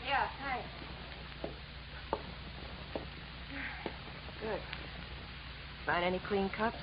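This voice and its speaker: A woman's voice: mid-range voice, a little slow, close to an everyday voice. A woman playing a jaded, tough-talking young wife in 1950s radio detective drama, blunt, sardonic and world-weary. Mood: flat, guarded. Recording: muffled, noisy vintage radio recording.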